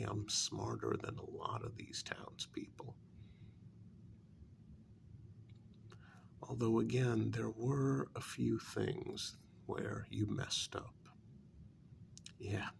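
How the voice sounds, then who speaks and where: A man playing a bitter, sarcastic kidnapper in a soft-spoken ASMR role-play, halting and half-whispered, with a gravelly voice. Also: fairly low pitch, steady tone, full character voice. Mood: scornful. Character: bitter, sarcastic male kidnapper confronting a demon.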